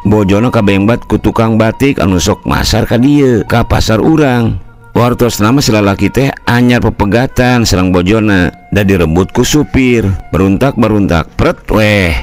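A man's voice: lowish voice, moderate pace, soft background music, lightly acted. A man with a Sundanese accent voicing a polite village man who relays gossip respectfully in an audio folk story. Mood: gossipy, respectful. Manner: deferential, chatty, storytelling.

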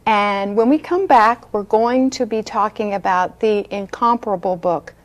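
A woman's voice: mid-range voice, normal speed, highs cut off. A middle-aged American woman hosts a book talk from a TV studio. Her voice sits in a medium register. She speaks with enthusiasm and effusive interest. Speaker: talk show host. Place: small TV studio set.

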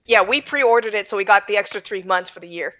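A woman's voice: mid-range voice, fast, pushed and bright, muffled recording. A young adult woman hosting a casual podcast chat from home, speaking into a headset on a video call. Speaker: podcast host.

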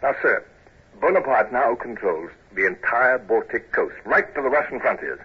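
An actor playing a serious, brooding British naval commodore, earnest and formal, in a 1950s radio drama. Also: male voice, medium pitch, expressive, normal speed, breathy edge. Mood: formal, urgent. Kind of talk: 1950s radio drama acting and first-person narration. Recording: noisy, dull old radio recording.

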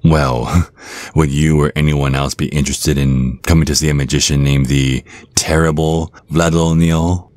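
Man playing a very deep-voiced suave vampire magician. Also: fairly even intonation, measured pace, darkish tone, no room sound. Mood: smug, flirtatious. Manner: theatrical, teasing, pompous. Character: suave, theatrical male vampire stage magician, teasing and boastful. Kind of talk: ASMR roleplay.

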